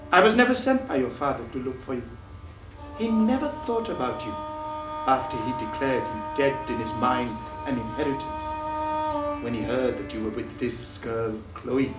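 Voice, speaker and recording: An actor playing an old, devout male family servant in a stage play, speaking with formal, solemn address. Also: medium pitch. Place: theatre stage. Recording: echoey stage from far off, music.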